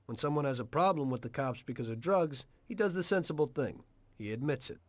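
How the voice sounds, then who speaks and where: An actor playing a weary, pragmatic middle-aged mobster in a video game, plainspoken and measured. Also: male voice, medium pitch, lively intonation, dry recording, close to an everyday voice. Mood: matter-of-fact, firm.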